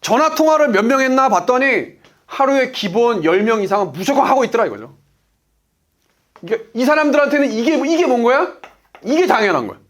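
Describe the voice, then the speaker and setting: A man's voice: extremely high pitch, sing-song, brisk. A man in his forties giving a motivational business talk as a coach, emphatic, asking rhetorical questions and answering them himself. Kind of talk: motivational lecture.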